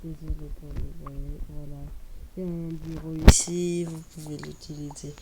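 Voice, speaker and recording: Woman w a cold, low slow voice, lotsa repeating, noisy room behind. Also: flat delivery. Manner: slow, repeats words while building. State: has a cold, stuffy voice. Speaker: Minecraft YouTuber. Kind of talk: casual gameplay commentary.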